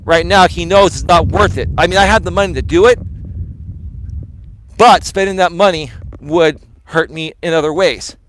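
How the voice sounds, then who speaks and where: A man live streaming outdoors on his phone while walking, talking to viewers in a rambling, emphatic way, sounding tired and a little hoarse. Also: sits high, moderate pace, fairly bright tone, a little hiss or hum, poor audio. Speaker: life coach and live streamer. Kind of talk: casual live stream talk to chat. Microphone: phone camera held while walking.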